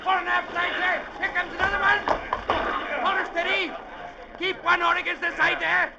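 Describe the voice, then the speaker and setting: An actor playing a gruff, domineering sea captain in his seventies, barking curt, impatient orders in 1940s radio drama style. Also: very high-pitched, little pitch movement, normal speed, a crowd in the background, exaggerated voice. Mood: harsh, urgent. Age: 70s. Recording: old radio recording, dull, roaring storm noise.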